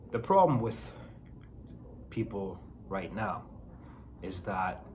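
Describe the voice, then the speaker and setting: A hoarse man with a raspy voice from a cold, chatting casually with his viewers and giving advice. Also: sits low, slight background noise. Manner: chatty, emphatic, frequent fillers and rhetorical questions. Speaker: bitcoin video host. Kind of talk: casual talking-to-camera advice.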